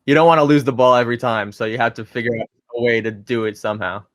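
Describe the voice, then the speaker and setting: A man's voice: mid-range voice, fast, no room sound. A young man in his twenties talks casually as a podcast guest. He rambles a bit, restarting his sentences as he goes. The sound is close and dry. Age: 20s. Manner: relaxed, rambling, with frequent restarts and fillers.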